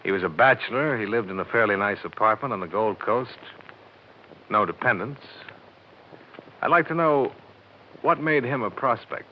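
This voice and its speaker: Man playing hard-nosed investigator: lowish voice, clipped and dry, pressing, vintage radio drama, muffled recording. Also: expressive, moderate pace, long pauses, a little hiss or hum, lightly acted. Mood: probing. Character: tough, probing male insurance investigator and narrator. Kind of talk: 1950s radio drama acting.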